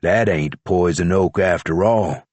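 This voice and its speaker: A jailed horse thief who drags out every word in a low, unhurried country drawl, as a male narrator plays him. He is superstitious and preachy, and his voice sits low and dry. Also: a little mellow, no echo. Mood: ominous. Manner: slow, drawling rural talk.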